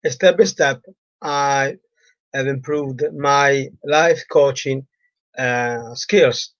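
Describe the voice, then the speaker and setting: Slow, hesitant man with a cold. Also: mid-range voice, no room sound, dull audio. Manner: halting, many fillers, non-native grammar. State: has a cold and sore throat. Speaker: relationship coach. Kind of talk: live-streamed solo talk.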